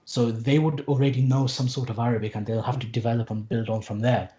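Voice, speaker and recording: Soft-toned man in his late twenties. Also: mid-range voice, little pitch movement, fast. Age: late 20s. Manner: rambling with frequent restarts and fillers, earnest, wry asides. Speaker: podcast guest, Arabic teacher. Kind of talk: casual conversational interview.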